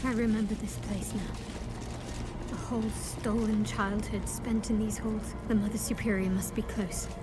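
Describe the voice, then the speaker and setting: An actress playing a wary young female cleric in a video game, haunted and hesitant, with a breathy, husky, brooding delivery. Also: medium pitch, fairly even intonation, measured pace, over loud music, lightly acted. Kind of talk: dramatic video game acting.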